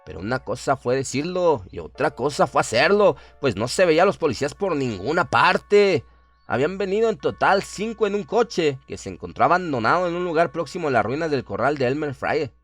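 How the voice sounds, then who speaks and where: A man's voice: on the high side, very animated, brisk, soft background music. A man narrating a scripted horror story in a solemn, dramatic storytelling manner.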